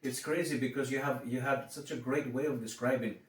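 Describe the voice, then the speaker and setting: A man with a Greek accent hosting a podcast, talking casually with many halting restarts. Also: medium pitch, fairly even intonation, reverberant, recorded from a distance, poor audio. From Greece. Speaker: podcast host. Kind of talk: casual interview chat.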